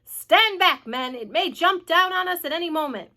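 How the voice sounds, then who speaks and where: Storybook police chief, a man in a panic , played by a woman: bright, forceful, barking orders in alarm. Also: medium pitch, unhurried. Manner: alarmed, exclamatory, commanding.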